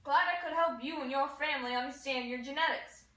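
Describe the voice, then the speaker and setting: A young woman presents a scripted educational show in an explanatory host's manner. Her voice is high and bright, and she sounds distant from the mic. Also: a little fast. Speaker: puppet show performer playing a TV host. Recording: echoey room, distant mic.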